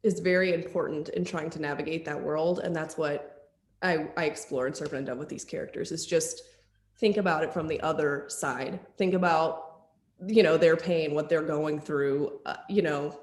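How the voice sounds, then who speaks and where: A woman's voice: low-pitched, steady tone, moderate pace, darkish tone, reverberant. A woman author on a panel, speaking earnestly in casual conversation with some fillers.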